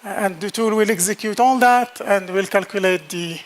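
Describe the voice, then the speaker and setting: A man's voice: high voice, normal speed. A man giving a technical conference presentation on a hall stage, talking plainly with repeated words and fillers. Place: large dark lecture hall stage.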